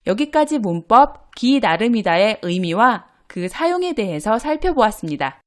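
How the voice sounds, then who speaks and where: Lively, clear woman teaching a grammar lesson. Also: fairly high pitch, moderate pace. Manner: clear, patient explaining tone. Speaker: Korean language teacher.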